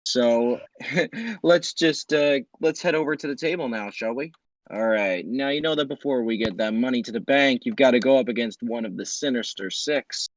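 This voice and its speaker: A man hosting a quiz game show, reading questions in a brisk, announcer-like way. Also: medium pitch, no room sound, lo-fi sound.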